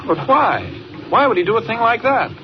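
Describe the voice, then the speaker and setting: A man's voice: very high voice, sing-song, normal speed. An actor playing an eager, inquisitive male newspaper reporter in 1940s radio style. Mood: curious, probing. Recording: muffled, very noisy 1940 radio recording.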